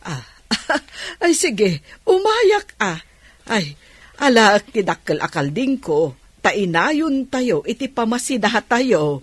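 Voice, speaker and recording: A woman playing an emotional older village aunt in an Ilocano radio drama, husky, with heartfelt sighing exclamations. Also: a little high, sing-song, slow, no echo, full character voice. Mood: touched, delighted.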